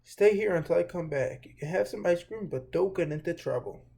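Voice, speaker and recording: Storybook guardian, male, played by a man reading aloud: friendly, fatherly, slightly high, creaky and mellow. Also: lively intonation, brisk, heavily acted. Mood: kindly, upbeat.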